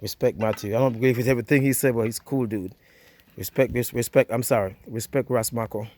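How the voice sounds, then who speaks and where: A man's voice: medium pitch, steady tone, quick pace, a little mellow, slight background noise. A man with a Jamaican accent hosting a casual cooking vlog outdoors by a wood fire, chatty and easygoing, often saying "yeah, man".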